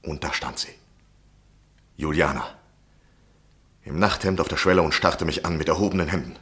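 Classic radio drama lead, insistent, uneasy gentleman, deep voice, measured confessional storytelling. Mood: tense recounting. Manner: deliberate, literary, confessional. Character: anxious, insistent gentleman journalist recounting his obsession. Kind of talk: 1960s German radio play acting and narration.

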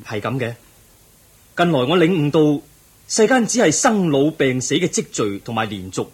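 Earnest young prince played by a man, husky. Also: on the high side, very animated, moderate pace. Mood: earnest, respectful. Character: earnest, respectful nineteen-year-old prince. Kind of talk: Buddhist radio drama acting.

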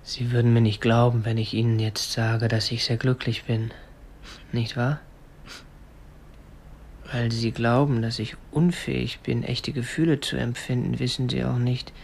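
A man's voice: medium pitch, little pitch movement, a little slow, gravelly, darkish tone, a little hiss or hum. Stiffly polite, obsessive young man. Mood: earnest, pleading. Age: young adult. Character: meek, stiff, obsessive young male kidnapper, respectable petty bourgeois. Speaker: actor and first-person narrator in a radio play. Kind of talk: radio drama narration and dialogue.

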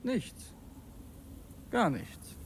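An actor playing an earnest, brooding revolutionary who narrates in an intense, halting way in a radio play. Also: male voice, slight background noise. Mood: guarded, impatient. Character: earnest, brooding male revolutionary narrating his secret errand. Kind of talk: radio play, first-person dramatic narration.

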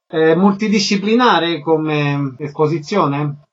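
A man's voice: a little high, takes it slowly, lo-fi sound. A man hosting a radio interview, putting concise questions and introductions to guests.

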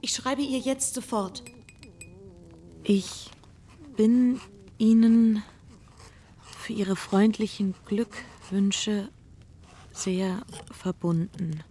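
Shy, distressed young gentlewoman. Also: medium pitch, fairly even intonation. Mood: halting, formal. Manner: formal, halting, pleading. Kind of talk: German radio play acting.

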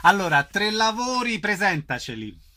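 A male radio interviewer with a bright, forward voice pitched a little higher than most men. He speaks as a host presenting guests and theatre listings. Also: sing-song, moderate pace, a little hiss or hum.

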